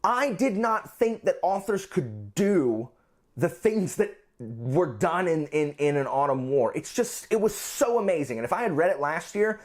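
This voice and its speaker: Male book vlogger, voice on the higher side, chatty and informal, effusive and emphatic delivery. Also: sing-song, unhurried. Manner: gushing, emphatic, repeats phrases for emphasis. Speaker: book reviewer and channel host. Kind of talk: casual chatty book vlog.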